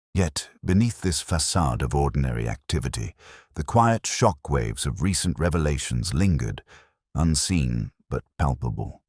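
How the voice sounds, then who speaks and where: A man narrating a scripted mystery story in a measured, literary way. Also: very deep voice, husky, no echo, studio quality.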